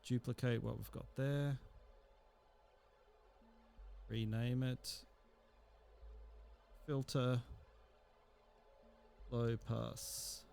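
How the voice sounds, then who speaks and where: A man teaching a software tutorial, explaining step by step in an unhurried way and thinking aloud with fillers. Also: medium pitch, fairly even intonation, gravelly. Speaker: instructor. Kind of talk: screen-recorded software tutorial.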